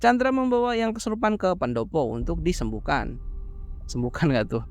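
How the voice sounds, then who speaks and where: A man narrating a horror film recap in a casual, brisk way, dropping slangy joking asides between plot beats. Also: on the high side.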